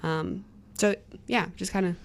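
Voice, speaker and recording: A woman's voice: on the low side, steady tone, a little slow, gravelly. A woman giving casual sports analysis as a reporter on a roundtable panel. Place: studio roundtable.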